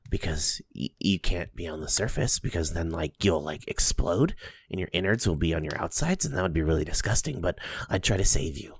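Man acting as a tender boyfriend, low-pitched, husky, playful teasing, intimate ASMR roleplay. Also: no echo, heavily acted. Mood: soothing, tender. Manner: soft, affectionate, playfully teasing, with chuckles and kisses. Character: doting, teasing young boyfriend soothing a sleepy partner.

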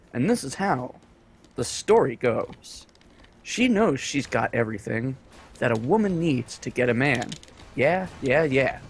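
A man reciting song lyrics as deadpan spoken poetry over voice chat, taking his time with each line. His pitch rises and falls widely, and a faint hiss sits under the recording. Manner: slow, lively reciting with laughter. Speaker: gamer on voice chat.

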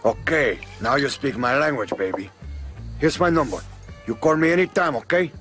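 An actor playing a cocky, foul-mouthed, flirtatious Cuban drug lord with a Cuban accent in a video game. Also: male voice, mid-range voice, expressive, moderate pace, a bit bright, faint music behind, lightly acted. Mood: brash, flirtatious. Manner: brash, swaggering. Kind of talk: video game cutscene acting.

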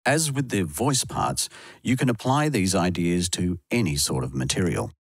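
A man narrating a scripted software tutorial in a measured, instructional way, addressing the listener directly. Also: low-pitched, animated, husky.

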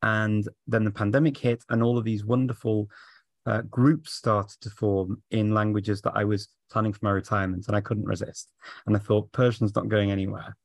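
Middle-aged guy on a video call, low gravelly voice, lots of "i mean". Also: level tone, moderate pace, dry recording, studio quality. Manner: conversational, probing questions with hedging fillers. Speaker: interviewer. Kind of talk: casual video call chat. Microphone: webcam video call.

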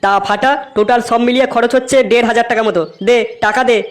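A man's voice: extremely high pitch, steady tone, fast talker. Man as a bossy young cartoon ringleader. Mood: demanding. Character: bossy, scheming young male ringleader of drinking buddies. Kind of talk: comedy cartoon voice acting.

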